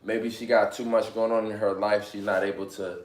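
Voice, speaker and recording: A man's voice: fairly low pitch, level tone, brisk, roomy sound. A man in his late twenties talking casually on a live stream, giving motivational advice and often repeating himself. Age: 20s. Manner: repeats phrases, motivational, fillers like "you know what I'm saying".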